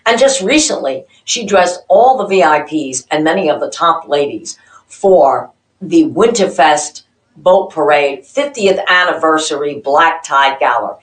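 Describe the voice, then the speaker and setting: A woman's voice: sits low, lively intonation, unhurried, echoey room, rough recording. A woman hosting a show, narrating a recap of her guests with gushing, enthusiastic praise. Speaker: show host.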